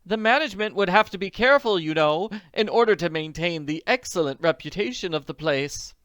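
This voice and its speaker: A reader voicing an excitable woman in a storybook who keeps crying out in alarm. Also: medium pitch, a little fast, smooth, no echo, clean studio sound, voice pushed up, natural voice. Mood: reassuring. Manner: exclamatory, cries out. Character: excitable woman, the worried lady's friend.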